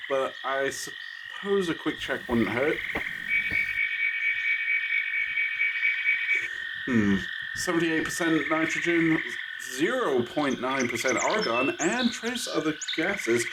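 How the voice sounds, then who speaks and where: Guy playing a playful old time traveler, musing and reassuring, slightly high voice, loads of background noise. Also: expressive, measured pace. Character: whimsical ancient male time traveler, reassuring and curious. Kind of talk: audio drama acting. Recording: very noisy, hissy backdrop.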